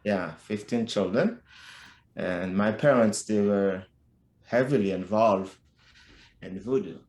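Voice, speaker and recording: A middle-aged man with a Haitian accent, telling his life story as a podcast guest in a slow, halting way with frequent restarts. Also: fairly low pitch, a little mellow, roomy sound. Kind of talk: personal life story in conversation.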